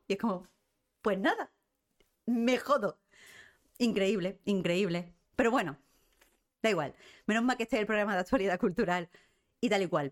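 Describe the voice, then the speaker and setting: A woman with an accent from Spain chats casually as the host of a live show. Her voice sits at an average female pitch. She rants in an exasperated, blunt way, with plenty of swearing and sarcasm. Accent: Spain Spanish. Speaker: host of a live cultural current-affairs show. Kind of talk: casual ranting live-stream chat, reading viewer comments.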